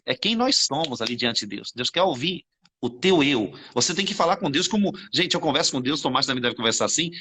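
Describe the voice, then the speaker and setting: Brazilian man, live-stream host, bright husky voice, rapid and preachy. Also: a little high. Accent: Brazilian Portuguese. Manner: fervent, preachy, addresses viewers as "gente". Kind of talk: animated spiritual conversation.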